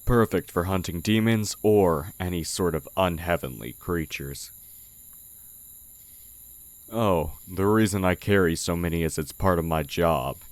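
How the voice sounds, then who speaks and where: Sly male demon in blacksmith's guise, acted by a man for audio roleplay: very low, unhurried, condescending, crickets behind. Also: no echo. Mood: calm, knowing. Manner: slow, measured, condescending. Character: sly male oni demon posing as a humble village blacksmith. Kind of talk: audio roleplay, intimate character acting. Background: night forest ambience with crickets.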